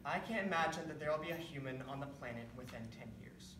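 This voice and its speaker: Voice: medium pitch, fast, fairly bright tone, lightly acted. A performer playing a solemn, blunt male climate scientist who foretells doom in a stage readers theatre piece. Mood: grim. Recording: echoey room, distant mic, background hiss.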